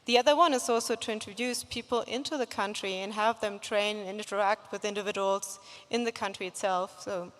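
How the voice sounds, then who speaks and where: A woman who is a professor, speaking as a panelist in a panel discussion, measured and thoughtful. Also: medium pitch, steady tone, a bit bright. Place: conference hall. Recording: reverberant conference hall.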